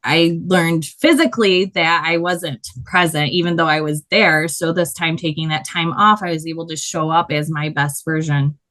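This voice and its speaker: A woman with a loud voice, a body painter telling her story in a casual interview, animated and quick to laugh. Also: sits low.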